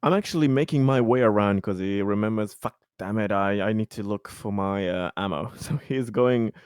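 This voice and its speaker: A man's voice: on the low side, normal speed, darkish tone, clean tone, no echo, studio quality. A man chatting casually and swearing freely as a player in a tabletop game. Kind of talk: casual, profane gaming chat.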